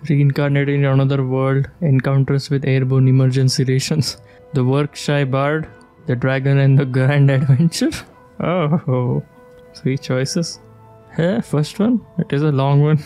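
Very low, mellow woman gamer commenting. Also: fairly even intonation, measured pace. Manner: teasing, giggly, reacting aloud to the game. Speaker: game streamer. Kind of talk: casual playthrough commentary. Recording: faint game music behind the voice.

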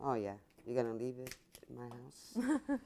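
Low-voiced, lively older Italian-accented woman. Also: unhurried, slight background noise. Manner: emphatic, repeats herself. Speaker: cooking show co-host. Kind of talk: casual cooking chat. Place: home-style kitchen, indoors.

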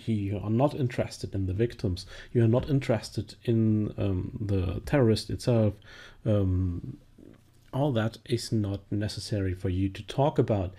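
A man who speaks English as a second language, commenting on a news clip with many halting fillers and restarts. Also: lowish voice, dark tone. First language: not English. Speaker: video commentator. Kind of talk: casual spoken commentary.

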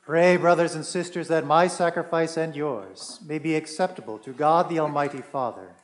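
A man in his thirties, a priest, leading the mass prayers in a measured, solemn intoning manner in a church sanctuary. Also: fairly high pitch.

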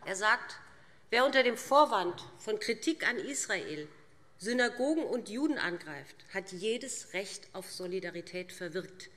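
A woman's voice: mid-range voice, expressive, measured pace, fairly bright tone. Middle-aged woman speechmaking in a reverberant hall. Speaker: parliamentary speaker for a party group. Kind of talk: formal prepared political speech. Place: large indoor parliament chamber. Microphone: lectern microphone.

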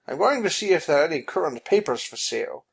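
A narrator voicing a calm, nonchalant gentleman in a storybook, understated and faintly annoyed. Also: medium pitch, quick pace, gravelly, slightly rough, voice pushed up, heavily acted. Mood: casual. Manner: unruffled, understated.

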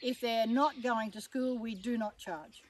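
A woman's voice: fairly high pitch, measured pace. A woman with an Australian accent, a farm stay owner explaining the rules in a plain, matter-of-fact way. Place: outdoors in a grassy bush clearing. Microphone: camera mic from a few metres away. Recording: distant outdoor mic, faint noise.

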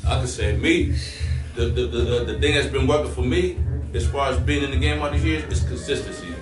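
Brief: older male rapper, animated street storyteller, heard from a distance, room echo, music under. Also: medium pitch, fairly quick. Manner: loud, swearing, acts out quotes. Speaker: rapper, interview guest. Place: small studio room, leather couch. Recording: roomy, distant, music behind.

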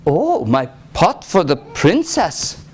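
A woman's voice: on the low side, wildly swooping pitch, slow, a little mellow, slightly rough, heavily acted. A woman voicing a sly, cheeky male swineherd from a fairy tale, bargaining in a teasing way.

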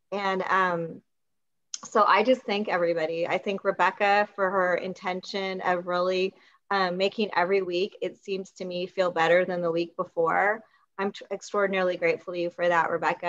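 A woman's voice: mid-range voice, lo-fi sound. Earnest middle-aged woman hosting a call. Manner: warm, earnest, grateful. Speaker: host. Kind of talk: hosting a video meeting. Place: home room indoors. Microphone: laptop webcam on video call.

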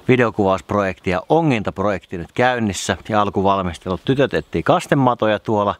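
A man's voice: low voice, no room sound. A man in his thirties casually explaining his plan to the camera, recorded outdoors by a lake on a camera mic. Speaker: vlogger.

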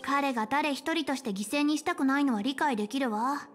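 A high-pitched young female space station chief, voiced by an actress for a video game. She is polite, self-assured and caring, explaining things in measured, articulate phrases. Faint music plays behind her. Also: lightly acted. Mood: worried, stern. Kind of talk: Japanese game voice acting, explanatory dialogue. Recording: game dialogue over background music.

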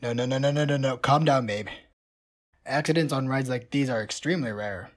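A woman voicing a calm, dry teenage boy in a fan comic dub, talking in a flat, reassuring way. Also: very deep voice, brisk, very noisy.

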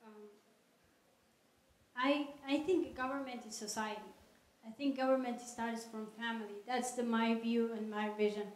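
A woman answering audience questions at a lecture in an earnest, measured way, speaking into a handheld microphone in a large hall. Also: fairly high pitch, fairly even intonation. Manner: earnest, measured, non-native phrasing. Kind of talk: public Q&A talk. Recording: echoey hall.

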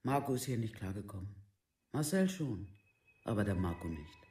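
An actor playing a withdrawn village newcomer in a documentary radio play, speaking colloquially in short halting sentences. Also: male voice, on the low side, animated, darkish tone, lightly acted. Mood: subdued, resigned. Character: withdrawn villager, newcomer who never fitted in.

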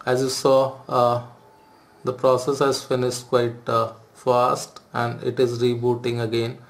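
A man's voice: mid-range voice, measured pace. A man hosting a phone how-to video, explaining steps with frequent "uh" fillers. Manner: hesitant, frequent "uh" fillers, flat delivery. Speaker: tech video host. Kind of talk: phone tutorial walkthrough.

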